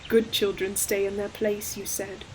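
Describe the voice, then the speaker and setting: A woman plays an ancient forest demon who speaks slowly and solemnly, in a narrow, measured range. She is a tender, sorrowful protector in an audio roleplay, choosing each poetic phrase with care. Faint ambient sound sits behind the voice. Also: mid-range voice, little pitch movement, slight background noise, lightly acted. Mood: mournful. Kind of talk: scripted audio roleplay monologue, slow dramatic storytelling. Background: ambient environmental sound effects.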